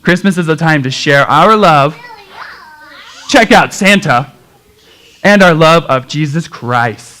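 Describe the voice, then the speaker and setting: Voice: medium pitch, animated, slow, pauses often, a bit bright. A performer voicing puppet characters in a children's church Christmas show, exclaiming and addressing the children in an exaggerated way. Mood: earnest preaching.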